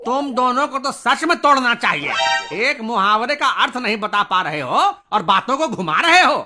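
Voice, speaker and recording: A man's voice: very high voice, very animated, moderate pace. A man voicing an exasperated, scolding male schoolteacher in a comedy cartoon. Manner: stern, scolding. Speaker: teacher. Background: music.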